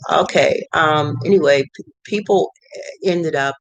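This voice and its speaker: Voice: mid-range voice, measured pace, no echo, poor audio. A middle-aged visual artist giving casual advice from a cluttered home studio, talking in a rambling way with many fillers and restarts. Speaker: visual artist and art consultant.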